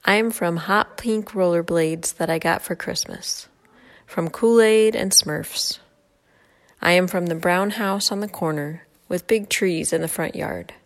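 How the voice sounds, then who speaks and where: Woman reading a poem in a low-ish voice. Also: unhurried. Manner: steady, rhythmic recitation. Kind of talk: reading a personal poem aloud.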